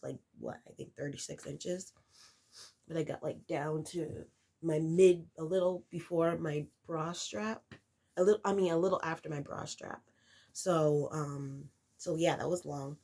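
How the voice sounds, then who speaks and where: A woman's voice: sits low, fairly even intonation, moderate pace, creaky, warm tone. A woman vlogging casually to camera, congested and nasal from a cold, rambling with lots of fillers and restarts. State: stuffy nose from a cold.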